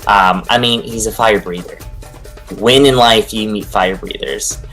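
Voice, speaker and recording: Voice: medium pitch, normal speed, a bit bright, faint music behind. A speaker giving casual, enthusiastic commentary on the cast.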